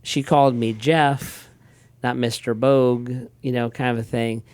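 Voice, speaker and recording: A middle-aged man, a pastor, telling everyday stories in a relaxed chatty way with frequent restarts. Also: medium pitch, a little slow, clean tone, dry recording. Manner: relaxed storyteller, frequent restarts and quoted asides. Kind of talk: casual conversational talk.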